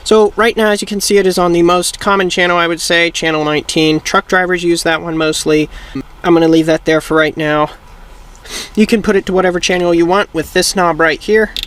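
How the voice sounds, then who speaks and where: A man's voice: high voice, steady tone, brisk, a bit bright, clean tone. A man hosting a how-to video, explaining things methodically, step by step, in a casual instructional style.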